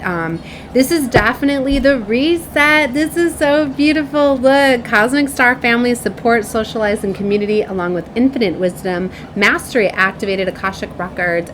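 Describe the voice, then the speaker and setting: A woman giving an informal, effusive spiritual card reading, drawing out key words for emphasis. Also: mid-range voice. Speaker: tarot and oracle card reader. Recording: clearly noisy background.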